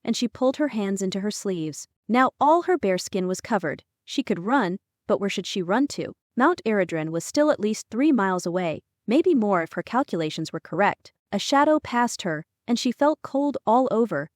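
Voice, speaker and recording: A woman's voice: mid-range voice, animated, normal speed, few pauses, dry recording. Female fantasy narrator, dry recording. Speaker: audiobook narrator. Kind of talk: scripted fantasy narration with character dialogue.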